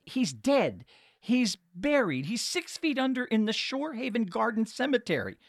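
An actor playing an exasperated, sarcastic son calling customer service in a comedic audio drama. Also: male voice, very high-pitched, very animated, normal speed, dry recording, clean studio sound, heavily acted. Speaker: caller.

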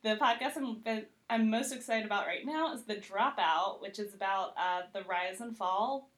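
A woman talks as a guest on a casual podcast. Her voice sits at a middle pitch and her manner is loose, giggly and conversational, full of "like" as a filler. The recording is roomy and echoey. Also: moderate pace. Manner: conversational, heavy use of "like", giggly.

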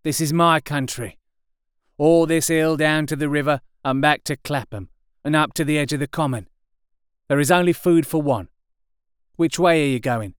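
A man voicing a hoarse, wary, filthy soldier who speaks urgently and guardedly. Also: fairly high pitch, normal speed, no echo, clean studio sound, pitched above the performer's own voice, natural voice.

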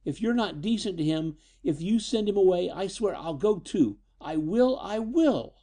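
A man voicing a frightened, high-strung but defiant young woman in a storybook reading, nervous and emotional. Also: very animated, moderate pace, warm tone, breathy edge. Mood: angry, defiant.

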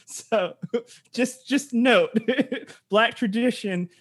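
A man's voice: extremely high pitch, very slow, fairly bright tone, breathy edge. A man co-hosting a podcast, chatting casually and playfully, laughing often. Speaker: podcast co-host. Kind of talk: casual chat.

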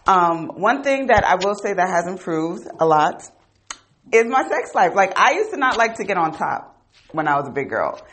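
A woman's voice: lowish voice, very animated, normal speed, a bit bright, slight background noise. An American woman in a casual group chat, speaking bluntly and playfully with plenty of slang.

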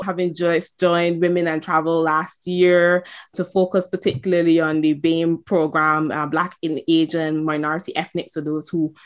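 Barbadian woman, lower register, conversational guest, relaxed anecdotal delivery. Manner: warm, storytelling, with fillers. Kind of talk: conversational interview.

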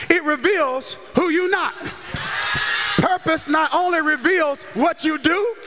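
An American man preaching a sermon with an emphatic, repetitive, call-and-response cadence, often rising into shouts. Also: very high voice, background noise. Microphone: handheld or stage microphone. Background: music under the voice.

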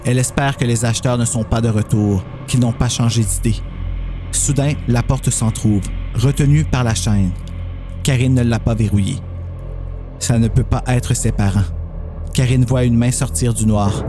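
A man's voice: mid-range voice, fairly even intonation, normal speed, music in the background. A man with a Quebec French accent narrating a scripted horror story as host.

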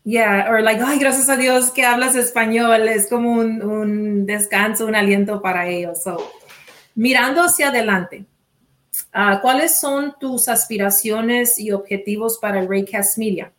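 A woman's voice: fairly high pitch, fairly even intonation, measured pace, fairly bright tone. A woman whose first language is Spanish, interviewing a guest in a casual, chatty way and dropping in English fillers like "so" and "yeah".

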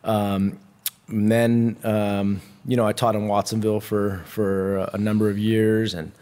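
A man answering interview questions casually, relaxed and filling his talk with "you know" and "I mean". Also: fairly low pitch, a little slow. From Santa Cruz, California. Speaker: jiu-jitsu instructor and gym owner.

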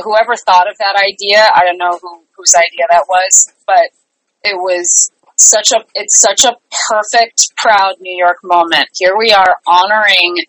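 A woman's voice: lowish voice, a bit bright, dry recording, poor audio. A middle-aged woman answering interview questions conversationally, speaking earnestly and repeating phrases for emphasis. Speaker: interview guest, life and business coach.

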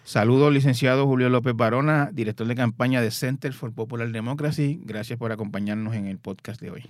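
A man hosting an interview podcast, speaking in a measured way with hesitant fillers. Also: medium pitch, no echo. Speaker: podcast host.

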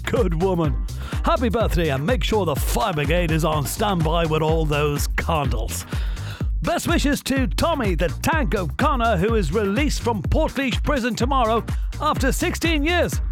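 An actor playing a brash, cheesy local-radio breakfast presenter with an Irish accent and showy, flippant patter. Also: male voice, high voice, sing-song, a little slow, over music. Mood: upbeat, showy. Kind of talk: comic radio play, radio DJ patter.

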